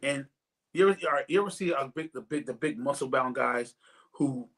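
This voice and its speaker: A man's voice: mid-range voice, fairly quick, fairly bright tone, breathy edge. A man in his forties hosting a casual podcast chat, rambling and repeating words as he works toward his point. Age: 40s. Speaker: podcast host.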